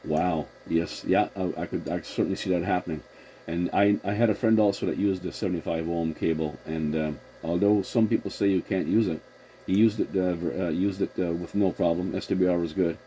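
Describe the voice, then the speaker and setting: A man's voice: low voice, fairly even intonation, a little fast, dark tone. A man chatting casually as a CB radio operator, agreeable and a little hesitant, with a noisy recording. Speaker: CB radio operator hosting the contact.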